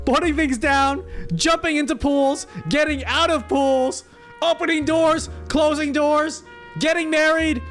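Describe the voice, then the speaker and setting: A woman hosting a casual livestream, playful and mock-dramatic as she reads out and comments on a story. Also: high-pitched. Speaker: streamer, host. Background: music.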